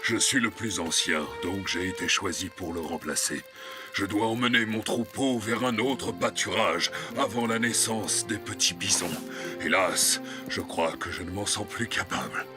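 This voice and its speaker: An actor voicing an old bison scout in a cartoon, earnest and self-doubting, with a heavy voice and solemn, halting speech. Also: male voice, close to an everyday voice. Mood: solemn, weary. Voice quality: deep, heavy voice. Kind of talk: dubbed cartoon acting. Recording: loud music under dialogue.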